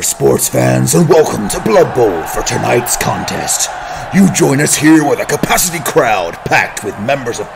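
A man voicing an excitable fantasy sports announcer in booming, theatrical parody commentary. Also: vocal fry, background noise.

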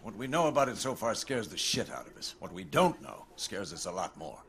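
An actor playing a hard-nosed middle-aged commander giving a terse, emphatic briefing in a war game. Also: male voice, on the low side, sing-song, a little fast, fairly bright tone. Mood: grim. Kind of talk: military briefing in game cutscene. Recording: clear noise behind the voice.

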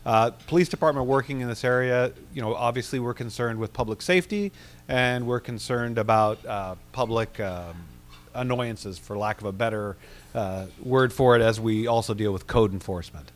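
A man's voice: mid-range voice, normal speed. A man in his thirties, a police official, speaking plainly into a handheld microphone at a panel in a large banquet room. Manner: plain, matter-of-fact. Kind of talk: panel presentation.

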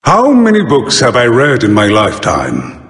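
An actor playing a bookish bird-headed god and solemn judge of the dead in a video game, with a grand, formal, theatrical delivery and dry wit. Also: male voice, medium pitch, wildly swooping pitch, normal speed, a bit bright. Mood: dry, wry. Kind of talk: video game character voice lines. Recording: roomy studio voice with long reverb.

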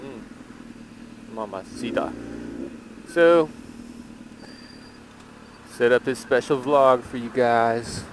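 A man talking casually to viewers as a motorcycle vlogger, speaking in short phrases with long pauses while riding. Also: medium pitch, expressive. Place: outdoors on a motorcycle in city traffic. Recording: traffic, engine and wind noise.

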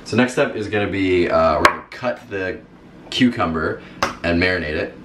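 A man's voice: deep voice, moderate pace. A young man cooking in his home kitchen, casually explaining each recipe step on a vlog. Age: young adult. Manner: easygoing, step-by-step instructing. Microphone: camera mic. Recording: roomy kitchen with background noise.

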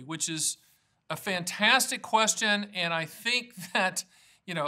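Bright, forceful high-voiced American man. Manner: emphatic, folksy, plain-spoken. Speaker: annuity agent hosting an educational video series. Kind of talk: informal explainer presentation to camera.

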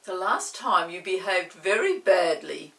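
A woman's voice: on the low side, sing-song, unhurried, gravelly, sharp tone, distant mic, full character voice. A woman voicing a bossy, stern little pixie in a children's storybook, scolding and emphatic.

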